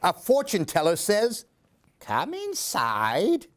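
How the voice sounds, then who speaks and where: A man reading a children's story aloud in an expressive, theatrical, singsong way. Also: high voice, takes it slowly. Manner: theatrical, singsong rhyming delivery.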